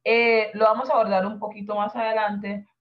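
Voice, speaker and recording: A woman whose first language is San Andrés Creole, teaching an online class and slowly explaining and translating each word. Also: mid-range voice, flat delivery. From San Andrés Island, Colombia. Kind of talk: online class over a video call. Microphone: video call. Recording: dull lo-fi video call audio.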